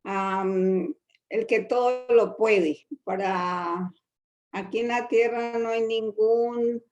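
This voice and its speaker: A woman's voice: on the high side, steady tone, drawn-out words, darkish tone, muffled recording. A woman with a Colombian accent giving a devotional talk and prayer, hesitant and humble, with many fillers. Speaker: guest speaker in a women's Bible group.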